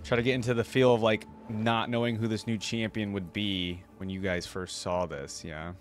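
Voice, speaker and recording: A man's voice: lowish voice, flat delivery, normal speed. A man hosting a reaction video, moving between informal, enthusiastic fan commentary and reading a story aloud.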